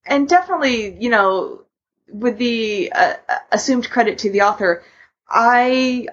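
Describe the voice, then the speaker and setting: A woman co-hosting a podcast, talking casually in a halting, conversational way. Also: on the high side, expressive, a bit bright, lo-fi sound. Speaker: podcast co-host. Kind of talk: casual discussion.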